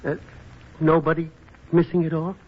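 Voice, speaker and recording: A man's voice: fairly high pitch, completely flat delivery, moderate pace, lightly acted. An American actor playing a bashful, lovestruck young man in a 1940s radio drama, stammering and boyishly eager, on a muffled old recording. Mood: crestfallen, confessing.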